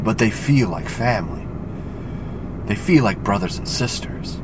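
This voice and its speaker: A man hosting a faith podcast, talking earnestly and rambling with frequent "right?" asides, his voice gravelly from a cold. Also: on the low side, expressive, measured pace. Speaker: podcast host. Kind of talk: reflective faith podcast monologue. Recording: loud road and traffic noise.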